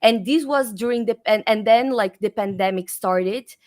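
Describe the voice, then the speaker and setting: A woman's voice: a little high, normal speed. A woman from Brazil with a Brazilian accent, a guest chatting casually in a video-call interview with many fillers and restarts. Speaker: guest, developer advocate.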